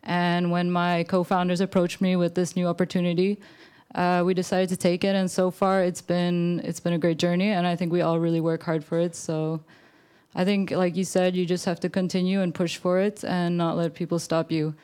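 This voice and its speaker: A woman's voice: lowish voice, level tone, normal speed, a little mellow, clean tone. A woman, a startup co-founder, tells a personal career story in a conversational way as a panel speaker.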